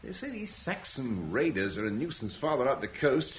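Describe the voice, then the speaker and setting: An actor playing a jovial, boastful older Roman landowner in a radio drama, hearty and quick to laugh. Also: male voice, mid-range voice, sing-song, close to an everyday voice, rough recording. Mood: genial. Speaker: Julian, a Roman settler. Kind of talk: 1970s BBC radio drama acting. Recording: faint hiss of an old radio broadcast.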